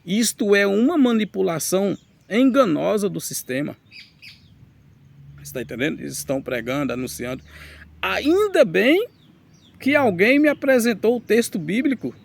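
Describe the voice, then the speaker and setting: Gravelly preacher man. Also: high-pitched, very animated, moderate pace, pauses often. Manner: addresses listeners directly, reads scripture aloud, emphatic. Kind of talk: teaching sermon with Bible reading.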